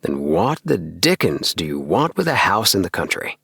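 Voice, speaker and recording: Man narrator as reasonable young male friend, storybook dialogue, soothing, puzzled, expressive intonation, dry recording. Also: low-pitched, normal speed, gravelly, husky, no echo, heavily acted. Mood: bemused. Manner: soothing, bemused.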